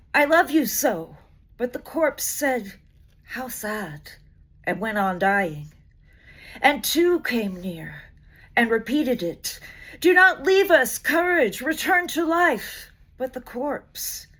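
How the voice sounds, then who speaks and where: Slow, dramatic woman reciting poetry. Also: high-pitched. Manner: measured, expressive delivery with deliberate pauses. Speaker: poetry reader.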